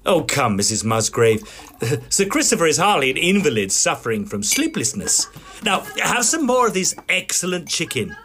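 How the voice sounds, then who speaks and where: An actor playing a polite, fussy Edwardian gentleman ghost hunter in an audio drama, with an English accent and a formal, slightly hesitant manner. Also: fairly high pitch, sing-song, normal speed, a little hiss or hum. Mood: flustered politeness. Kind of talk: audio drama acting and first-person narration.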